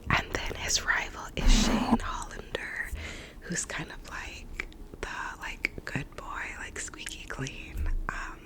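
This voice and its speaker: A woman's voice: takes it slowly, poor audio. A young woman whispering an ASMR ramble, recovering from a cold, chatty and full of "like" and "um". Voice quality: soft unvoiced whisper. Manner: rambling, lots of "like" and "um" fillers. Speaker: ASMR creator.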